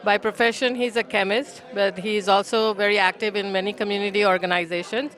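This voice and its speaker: A woman's voice: medium pitch, fairly even intonation, fairly quick, fairly bright tone. A woman hosting interviews at an event, chatty and finishing her guests' sentences. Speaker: host. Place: consulate reception hall.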